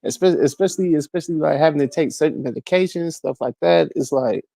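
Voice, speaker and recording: Gravelly, halting man in his forties. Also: fairly high pitch, mellow, dry recording. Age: 40s. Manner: halting, many "like" and repeated words. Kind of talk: casual chat.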